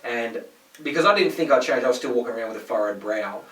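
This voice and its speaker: A man's voice: mid-range voice, animated, fast, fairly bright tone. A man hosting a podcast, chatting casually and telling stories with lots of swearing, acting out the people in them. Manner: swearing storyteller, acts out people in his stories. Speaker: podcast host. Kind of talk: casual chat. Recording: echoey room, mic at a distance.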